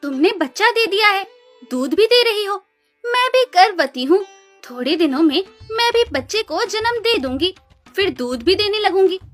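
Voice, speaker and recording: A woman's voice: very high-pitched, expressive, moderate pace, fairly bright tone. A woman voicing a spiteful younger sister cow in a cartoon story, mocking and scornful. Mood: earnest. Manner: taunting, scornful. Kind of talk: Hindi animated moral story dialogue. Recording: music behind the voice.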